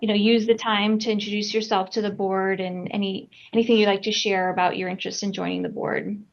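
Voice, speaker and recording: A woman runs a board meeting over a video call, halting and filling her pauses as she moves through the agenda. Her voice is at a middle pitch. The call audio sounds dull and muffled. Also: little pitch movement. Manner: halting, with many fillers. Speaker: meeting chair.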